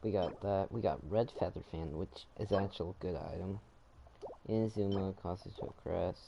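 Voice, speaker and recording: A young man giving casual, informal gameplay commentary, often halting and repeating his words. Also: sits low, creaky. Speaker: gaming streamer. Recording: noisy home microphone, clicks.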